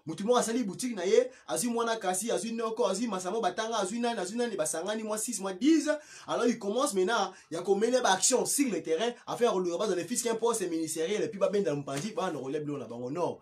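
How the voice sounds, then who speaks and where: A man's voice: sits high, fast talker, few pauses. A man with a Congolese accent giving impromptu political commentary in an emphatic, repetitive way, often stumbling and restarting. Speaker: political party activist and commentator.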